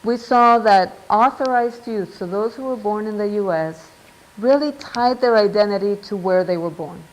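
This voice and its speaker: A middle-aged woman lecturing at an academic seminar. She speaks in a measured, thoughtful way, pausing with fillers and asking "right?" to keep her listeners with her. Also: medium pitch, rough recording. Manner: measured, reads out quotes, frequent ums and "right?". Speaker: researcher giving a seminar talk.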